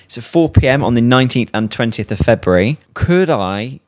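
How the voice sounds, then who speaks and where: A man's voice: mid-range voice, lively intonation, fairly quick. Playful male radio host. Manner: playful, upbeat. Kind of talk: casual chat. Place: festival radio base.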